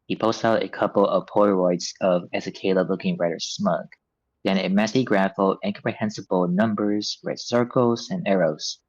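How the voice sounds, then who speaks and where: A man's voice: sits low, fairly even intonation, moderate pace. A man reading stage directions as scripted narration at a table read, on a slightly muffled recording.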